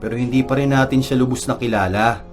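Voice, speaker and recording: A man's voice: mid-range voice, fast, over music, lightly acted. A man playing a respectful, worried young son with a Filipino accent in a radio drama.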